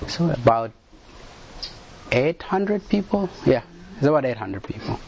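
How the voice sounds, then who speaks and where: A man answering questions on a panel in a halting way, often repeating words and restarting. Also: medium pitch, animated, vocal fry, a little mellow, muffled recording, slight background noise. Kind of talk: panel discussion.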